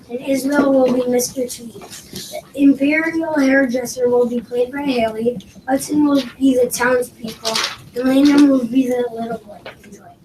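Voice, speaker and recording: A woman reading out a scripted introduction to a school play as its announcer. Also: sits high. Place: room at a school presentation. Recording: noisy echoey room, distant microphone.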